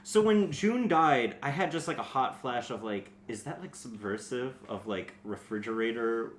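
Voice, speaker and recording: A man in a casual, comedic podcast book discussion, talking in an animated, profane way. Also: medium pitch, normal speed. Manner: animated, acts out joke voices, profane. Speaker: podcast panelist. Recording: echoey room with faint noise.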